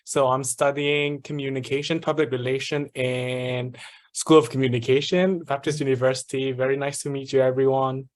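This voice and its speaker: A man's voice: medium pitch, level tone, normal speed. Young man from Myanmar introducing himself. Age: young adult. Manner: polite, brief self-introduction. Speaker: university student panelist.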